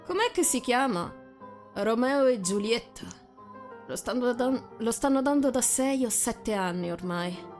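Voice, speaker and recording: A woman's voice: fairly high pitch, lively intonation, unhurried, darkish tone, soft background music. A woman voicing a condescending young aristocratic fiancé, patronising and controlled, in a visual novel reading.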